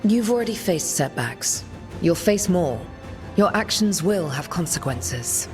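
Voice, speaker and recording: An actress playing a weary, resolute woman leader in a video game, speaking in a measured, grave, commanding way. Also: fairly low pitch, expressive, big-room sound, soft background music. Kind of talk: video game cutscene acting.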